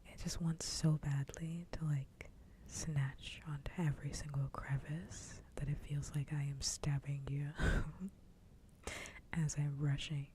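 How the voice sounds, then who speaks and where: A woman whispering softly and breathily into a close microphone, chatting casually in an ASMR style. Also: low-pitched, level tone, slow, slight background noise. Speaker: streamer.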